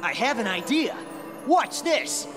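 A man's voice: extremely high pitch, sing-song, a little slow, heavily acted. An actor playing a brave, cocky young male hedgehog hero in a video game, casual yet earnest. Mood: confident. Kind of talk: animated video game acting. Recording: very loud music behind.

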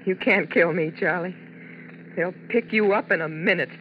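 An actress playing a frightened, clinging showgirl wife in a 1950s radio drama, halting and pleading. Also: on the low side, a little hiss or hum, natural voice. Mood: anxious pleading. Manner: pleading, halting, repeating herself. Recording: dull 1950s radio broadcast with music.

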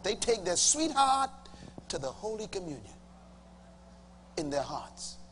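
A middle-aged Bahamian man preaching a sermon to a congregation, emphatic, swinging from loud bursts to low asides. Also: sing-song, measured pace. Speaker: pastor. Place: large church auditorium with a congregation. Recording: reverberant hall, faint hum.

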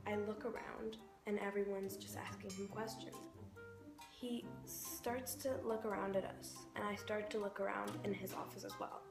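A young woman giving a dramatic comic reading, sarcastic and deliberate, with pauses for effect. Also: medium pitch, level tone. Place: bright bedroom indoors. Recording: roomy echo. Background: clear music.

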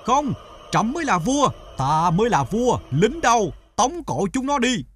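Imperious, animated greedy emperor. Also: male voice, very high voice, measured pace, slight background noise, exaggerated voice. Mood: indignant. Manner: imperious, exclamatory. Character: greedy, curious, imperious emperor of a folktale kingdom. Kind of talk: animated folktale voice acting.

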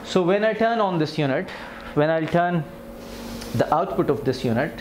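Higher-pitched man demonstrating, noisy room. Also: animated, moderate pace. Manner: plain step-by-step explaining. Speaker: physiotherapy instructor demonstrating equipment. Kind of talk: instructional demonstration, unscripted explanation. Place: indoor clinic treatment room with tiled floor. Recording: clear background noise, camera in clinic room.

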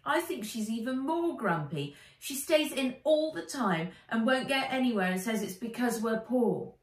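A woman's voice: a little high, animated, normal speed, voice pushed up, close to an everyday voice. A woman voicing a glum young boy who complains. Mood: grumbling. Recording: echoey room, voice a little far off.

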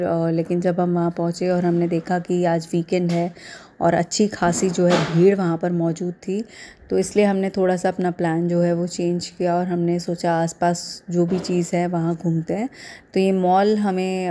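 A woman in her thirties with an Indian accent, narrating a casual vlog in a halting, hesitant way with repeated filler phrases. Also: lowish voice, mellow, a little hiss or hum. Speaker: vlogger.

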